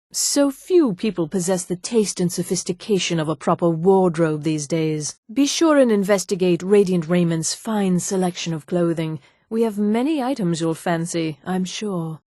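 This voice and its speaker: A haughty high elf woman of a fantasy game, acted by a woman, speaking in a lowish register. Her delivery is precise, polished and condescending, with the refined poise of a proud shopkeeper. The recording is close and dry, free of room sound. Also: mellow, no echo, natural voice. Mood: poised, sales-minded. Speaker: video game character. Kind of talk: scripted game dialogue.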